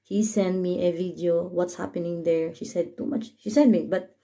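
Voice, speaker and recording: A woman from the Philippines, a Tagalog speaker with a Filipino accent, giving a casual vlog update as a caregiver. She explains slowly in simple English, pausing often with fillers and restarts. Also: on the low side, steady tone, dark tone. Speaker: caregiver and vlogger. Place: home in northern Israel.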